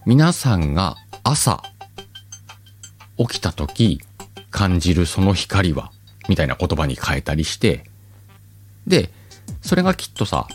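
A man hosting a podcast alone, chatting casually in a rambling, self-mocking way with drawn-out pauses. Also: sits low, wildly swooping pitch, soft background music. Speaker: podcast host. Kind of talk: casual solo chat.

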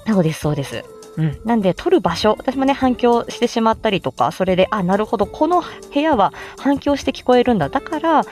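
A woman's voice: on the high side, big pitch swings, a little fast, darkish tone, faint music behind. A woman hosting a casual livestream, chatting and giving encouraging tips in the manner of an amateur voice actor. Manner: chatty, encouraging, often chuckling.

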